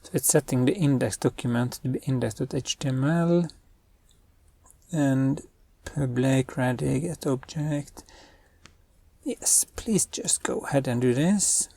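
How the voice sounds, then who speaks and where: Brief: young male coding host, husky and mellow, dry close sound, thinks aloud. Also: mid-range voice, unhurried, no room sound. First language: Norwegian. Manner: thinks aloud while giving spoken commands. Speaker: web developer and channel host. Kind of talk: screen-recorded coding tutorial.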